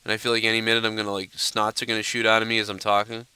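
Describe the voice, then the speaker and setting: A man's voice: fairly low pitch, completely flat delivery, rapid-fire, pushed and bright, clear, resonant tone, no room sound. A young man hosting a casual live stream, blunt and ranting, sounding a little nasal from a stuffed-up nose. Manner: blunt, ranting, loose and profane. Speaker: livestream host answering viewer questions.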